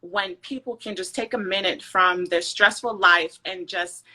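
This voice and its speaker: A woman's voice: mid-range voice, steady tone, measured pace, pushed and bright, rough recording. A woman from Jamaica with a light Jamaican accent mixed with American, chatting as a guest on a podcast and telling her story with many fillers. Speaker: event planner guest. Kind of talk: casual podcast interview.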